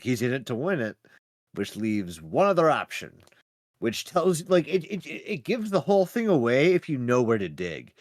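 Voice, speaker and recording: A man's voice: mid-range voice, no room sound, clean studio sound. A man co-hosting a podcast, chatting casually and emphatically, with frequent swearing. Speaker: podcast co-host reviewing web horror series. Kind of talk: casual animated chat.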